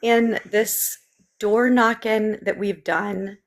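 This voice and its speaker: A woman's voice: medium pitch, fairly even intonation, slow. A woman with a Southern accent, originally from Memphis, earnestly answering interview questions as a political candidate, with many ums in long, run-on sentences. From Memphis, Tennessee. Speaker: state legislative candidate.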